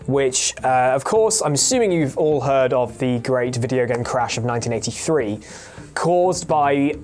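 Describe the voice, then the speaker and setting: A man's voice: mid-range voice, expressive, moderate pace, a little mellow, faint music behind. A man telling a story casually as a panellist, explaining in earnest detail and often correcting himself. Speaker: panellist on a gaming show.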